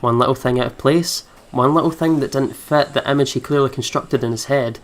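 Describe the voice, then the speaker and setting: A man's voice: medium pitch, a little fast. A man reading visual novel narration aloud, dropping in fond, giggly asides. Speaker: let's play host. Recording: game music behind voice.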